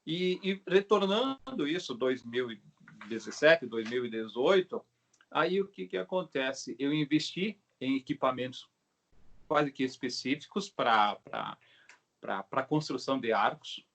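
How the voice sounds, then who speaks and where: A middle-aged man with a Brazilian Portuguese accent explains his craft in a casual, halting way, often checking in with short tag questions. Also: mid-range voice, animated, a bit bright. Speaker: craftsman, bow maker being interviewed. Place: video call.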